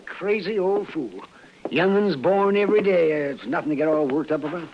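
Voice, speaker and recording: An American actor playing a crusty, good-hearted older country doctor in a 1950s radio western, folksy and drily teasing. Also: male voice, fairly high pitch. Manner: dry, folksy, chuckling. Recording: dull, faintly noisy vintage radio recording.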